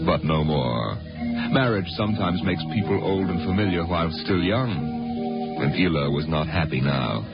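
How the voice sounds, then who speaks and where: A man narrating dramatically and with measured storytelling in 1950s radio style. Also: low-pitched, expressive, gravelly. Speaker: announcer and narrator. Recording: muffled 1950 radio recording, loud music. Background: loud dramatic music.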